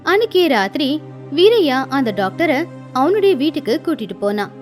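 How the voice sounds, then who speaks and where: A woman's voice: sits high, big pitch swings, normal speed, soft background music. A woman reading scripted storybook narration as the narrator of a tale.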